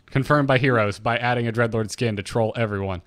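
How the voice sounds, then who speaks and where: A man's voice: medium pitch, fairly quick, fairly bright tone, no echo. A man co-hosting a podcast, discussing game lore in an informal, joking way. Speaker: podcast co-host.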